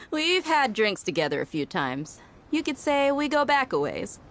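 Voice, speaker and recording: An actress playing a confident, sardonic mercenary with a cool, casual manner in a video game. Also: female voice, high-pitched, big pitch swings, measured pace, fairly bright tone, slight background noise, full character voice. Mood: easygoing. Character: confident, wry female desert mercenary, cool under pressure. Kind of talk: anime-style video game voice acting.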